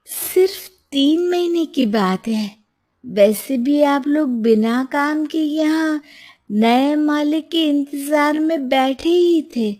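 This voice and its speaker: A woman's voice: sits high, darkish tone, voice pushed up. A woman voicing a determined, principled young businesswoman in a moral tale, earnest and firm. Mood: insistent.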